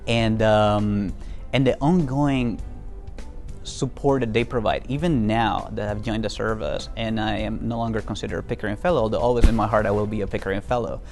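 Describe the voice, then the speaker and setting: Earnest young man, low gravelly voice. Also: fairly even intonation, a little mellow, faint music behind. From Venezuela. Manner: earnest, measured, many fillers and restarts. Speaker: Foreign Service officer. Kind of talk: interview testimonial.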